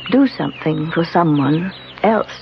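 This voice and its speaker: Serene, gentle woman guide. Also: deep voice, gravelly, exaggerated voice. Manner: calm, measured, benevolent. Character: serene, gentle female spiritual guide of a garden. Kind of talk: 1970s radio drama acting. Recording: muffled, noisy old radio broadcast recording.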